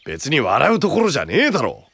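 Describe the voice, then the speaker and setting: A gruff, easily irritated young knight in a fantasy voice drama. His grumbling swings wildly in pitch, recorded close and dry. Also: huge pitch swings, fast, fairly bright tone, dry recording, exaggerated voice. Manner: rough-spoken, grumbling. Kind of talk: Japanese anime-style voice drama acting.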